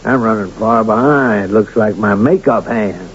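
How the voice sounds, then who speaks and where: An actor playing a domineering, crooked card dealer who runs a Western town, in 1970s radio drama style, brash and menacing. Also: male voice, on the low side, measured pace, lightly acted. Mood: brash, confident. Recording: dull, noisy 1970s radio recording.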